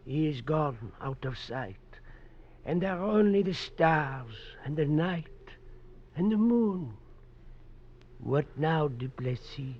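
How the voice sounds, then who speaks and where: An actor playing a grave, wise old knife maker, measured, fatherly and cryptic, in a 1940s radio drama. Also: fairly high pitch, expressive. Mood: calm, knowing. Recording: dull vintage radio recording.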